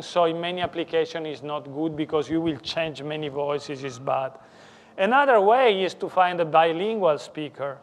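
A man with a strong Italian accent gives a technical lecture in English. He talks in a fairly high voice, stops often, and corrects his words as he goes. He is speaking in a lecture room. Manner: halting, self-correcting non-native English. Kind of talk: technical conference talk.

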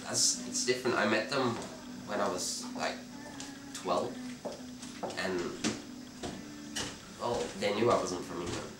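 A young man from Belgium answering interview questions in a relaxed, hesitant way, often restarting his sentences. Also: lowish voice, slow pace, long pauses, noisy background. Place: dim bar with red walls, indoors. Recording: roomy, distant sound with loud music behind.

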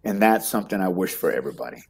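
Middle-aged man with a Texas drawl, speaking in a lowish voice over a home video call. He talks in a loose, rambling way, often doubling words as he gets going. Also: animated, racing, creaky, slightly rough. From Texas, United States. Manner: warm, rambling, repeats words when starting sentences. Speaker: guest. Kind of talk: casual interview chat.

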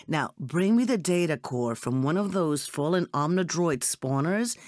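An actress playing a poised, elegant secret agent in a video game, speaking with formal, composed politeness. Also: female voice, low voice, darkish tone, no room sound, studio quality. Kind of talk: scripted video game character dialogue.

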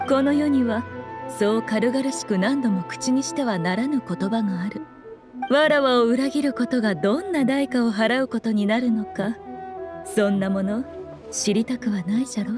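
Teasing lofty fox lady from an anime game, done by an actress, old-timey phrasing, bit high, music playing behind. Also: moderate pace, close to an everyday voice. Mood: coy menace. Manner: archaic, lofty, playful phrasing. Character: sly, haughty, teasing ageless fox shrine maiden. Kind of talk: scripted anime game dialogue.

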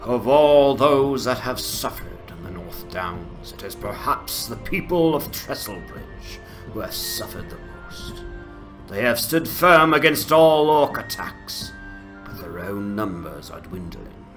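A man voicing a grave, courteous ranger leader with a formal, measured delivery in a fantasy game dramatization. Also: lowish voice, big pitch swings, over music, poor audio. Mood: solemn, approving.

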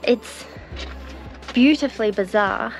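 A young woman narrating a travel vlog in a bright, enthusiastic, chatty way, filming herself on an action camera held at arm's length. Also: fairly high pitch, takes it slowly, vocal fry, loud music behind the voice. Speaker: travel vlogger.

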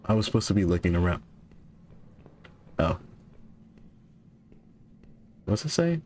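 A man's voice: sits low, big pitch swings, a little slow, darkish tone, slight background noise. A young man casually playing a horror game and reading notes aloud, stumbling and correcting himself while his voice gives out. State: losing his voice. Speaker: gaming YouTuber.

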